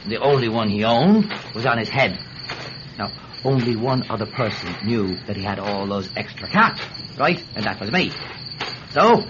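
A man's voice: medium pitch, natural voice. An actor playing a talkative, wily Irish villager who stammers deferentially as he pleads his innocence in a radio drama. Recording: muffled, slightly noisy old-style radio recording.

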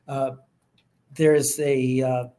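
A man's voice: medium pitch, level tone, very slow, mellow. A man from the United States, an author guest, talking casually with plenty of restarts. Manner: rambling, many fillers and restarts. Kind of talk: casual interview chat.

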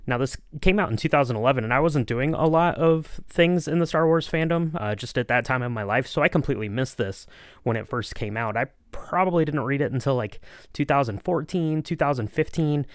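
Guy podcast co-host, casually explaining stuff, medium pitch, really dry close sound. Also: brisk, no room sound. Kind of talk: casual discussion.

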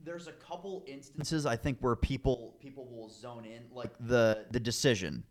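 A man's voice: medium pitch, normal speed, darkish tone. A man chatting casually as the host of a sports interview podcast.

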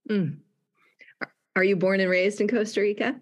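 A woman's voice: mid-range voice, flat delivery, normal speed. A woman hosting a podcast, asking short questions and humming in agreement. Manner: brief prompts and affirming hums. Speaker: podcast host. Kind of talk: interviewing.

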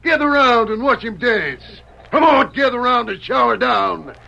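A man with an American accent playing a blustering, cruel mountain bear-trainer with rustic speech and a gravelly voice in an old radio western. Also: very high-pitched, expressive, normal speed, pushed and bright, heavily acted. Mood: hawking, jovial. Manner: rustic, folksy showman patter, blustering. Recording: dull old radio recording with crowd.

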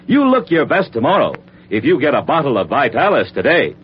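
A man announcing a 1940s radio commercial, addressing the listener in a chatty, direct sales pitch. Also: very animated, a little fast. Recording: muffled, humming old radio recording.

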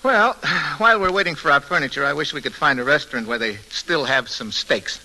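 An actor playing a wisecracking, easygoing young husband in 1940s radio mystery-comedy style, with a breezy, joking delivery on a noisy vintage broadcast recording. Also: male voice, on the high side, a little fast, over music, natural voice.

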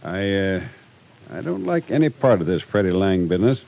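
Worried folksy older small town guy, old radio show, mellow gravelly voice, fatherly scolding. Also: low voice, sing-song, moderate pace, full character voice, low-quality recording. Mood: uneasy. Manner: folksy, admonishing. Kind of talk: old-time radio drama acting.

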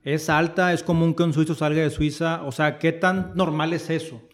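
A podcast host speaks from the area. His voice sits a bit higher than most men's. He comes across upbeat and encouraging as he welcomes his guest and leads the interview with his questions. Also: little pitch movement, normal speed. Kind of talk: interview hosting.

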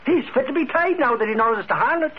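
Comic Irish newshound: high voice, swooping delivery, blustery, muffled vintage radio. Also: male voice, expressive, fast talker. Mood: chatty. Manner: blustery, folksy. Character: blustery, comic Irish ex-cop newspaper reporter. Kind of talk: 1940s radio acting. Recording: muffled vintage radio recording, faint noise.

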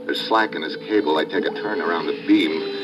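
An actor playing a tough, wry undercover diver, narrating tersely in an old-time radio adventure. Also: male voice, rumbling low, brisk. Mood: tense narration. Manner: terse, hard-boiled. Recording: vintage radio recording with music.

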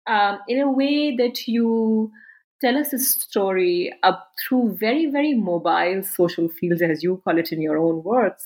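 A woman's voice: medium pitch, poor audio. A woman hosting an academic interview, asking long, winding questions with many fillers. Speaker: podcast host, interviewer.

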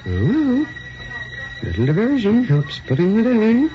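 A man's voice: high-pitched, wildly swooping pitch, a little slow, full character voice. A man playing a sardonic, put-upon junior editorial employee in a radio drama. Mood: sarcastic. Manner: wry, sarcastic, hesitant on the phone. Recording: muffled lo-fi, faint background noise.

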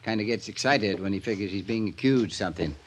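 A man's voice: on the low side, fast talker, a little hiss or hum, lightly acted. An American man playing a folksy, matter-of-fact small-town man with laconic delivery in a 1950s radio drama.

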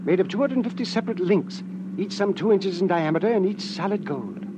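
Through a noisy old radio recording comes a high, jittery little gentleman of about fifty. His speech swoops up and down, formal, fussy and urgent, as in a 1940s radio play. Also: animated, brisk. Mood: precise, earnest. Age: middle-aged. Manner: formal, anxious, precise, urgent. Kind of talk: 1940s radio drama acting.

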